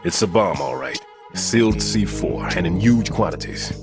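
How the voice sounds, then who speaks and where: A grave older bomb expert. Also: male voice, sits low, animated. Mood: steady, expert. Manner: grave, confessional, measured. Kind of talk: video game cutscene acting. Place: recording studio. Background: game score music.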